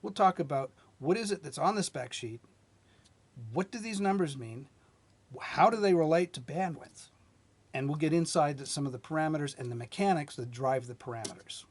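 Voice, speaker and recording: A middle-aged man, an engineering manager, giving a measured technical lesson at a whiteboard. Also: a little high, husky. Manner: plain, measured teaching delivery with light restarts. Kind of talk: explaining at a whiteboard.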